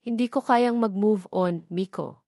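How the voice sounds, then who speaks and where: A woman's voice: mid-range voice, unhurried, dark tone, no echo, clean studio sound, natural voice. A woman voicing a lovelorn, stubborn young woman in a romance audio drama. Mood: sad.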